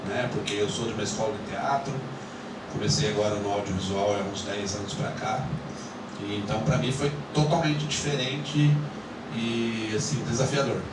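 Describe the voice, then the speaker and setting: A Brazilian man with a São Paulo countryside accent rambles casually to an audience about acting a role, filling his talk with many fillers. He speaks from a distance in a very echoey room over loud background noise. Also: lowish voice, fairly even intonation, moderate pace. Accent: Brazilian Portuguese, São Paulo countryside. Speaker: actor at a film screening.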